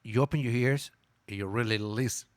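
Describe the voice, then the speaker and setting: A man with an Italian accent, a native Italian speaker, talking in a casual interview. He tells lively stories, repeats words and stops to search for English words. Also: mid-range voice, brisk, no echo. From Italy. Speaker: guest.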